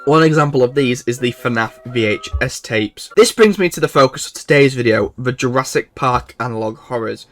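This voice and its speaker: A man's voice: mid-range voice, expressive, normal speed, few pauses, a bit bright. A man hosting a video essay, reading informal scripted narration. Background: background music.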